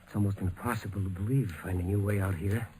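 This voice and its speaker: An actor playing a lonely, heartbroken exiled naval officer with halting, earnest delivery in 1950s radio drama style. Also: male voice, sits low, fairly even intonation, mellow, natural voice, low-quality recording. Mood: wistful, pained. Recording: vintage 1950s radio recording, music underneath.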